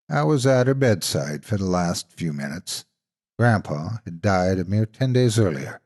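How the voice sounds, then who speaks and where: An older man narrating from a script as a horror storyteller, in a measured, deliberate and expressive way. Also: on the low side.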